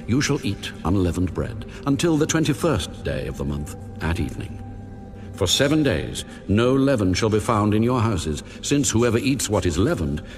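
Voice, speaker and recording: An actor playing God as a majestic, stern male lawgiver, making solemn, deliberate pronouncements in a dramatized audio Bible. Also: lowish voice. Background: music.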